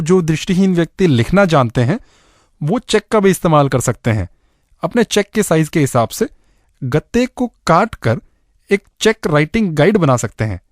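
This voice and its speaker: Higher-pitched Indian man hosting radio. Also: no room sound, studio quality. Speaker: radio show host. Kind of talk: scripted radio narration.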